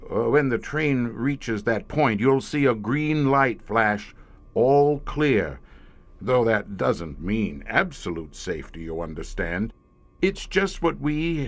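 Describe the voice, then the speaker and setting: An actor playing a weary, grim professor who commands a secret police squad, speaking formally and hesitantly in an audio horror drama. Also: male voice, mid-range voice, no room sound. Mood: explanatory, formal. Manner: measured, hesitant, formal, darkly matter-of-fact.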